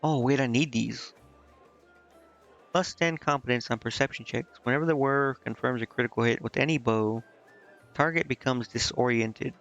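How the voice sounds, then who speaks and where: A man's voice: mid-range voice, moderate pace, fairly bright tone. A man casually commenting on a video game he is streaming, thinking aloud and reading bits of on-screen text. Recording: slightly dull lo-fi mic, game music behind.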